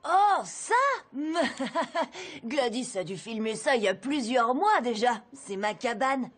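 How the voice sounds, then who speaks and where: A woman's voice: a little high, lively intonation, takes it slowly. A woman playing a confident, scheming, cheeky schoolboy hero in a cartoon dub, with a breezy, glib delivery. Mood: feigned innocence. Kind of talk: animated cartoon dubbing in French.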